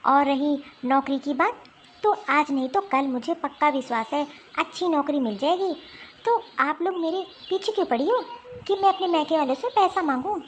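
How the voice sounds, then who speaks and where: Very high female voice, woman acting as put-upon young wife, protesting, cartoon drama, slight noise. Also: animated, normal speed. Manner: pleading, protesting, explanatory. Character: wronged young wife, honest, firmly defending herself.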